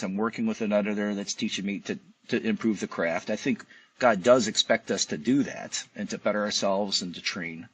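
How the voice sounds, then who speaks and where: Husky, lowish-voiced man, dry sound. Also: no echo, poor audio. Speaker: podcast guest, writer. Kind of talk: conversational interview testimony.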